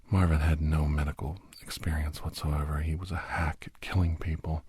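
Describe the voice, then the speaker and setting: A man playing a captive doctor who grimly recounts his escape, half-whispered in a breathy ASMR horror narration with halting, deliberate phrases. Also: very deep voice, dark tone, no room sound, full character voice. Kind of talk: first-person scripted horror narration, ASMR.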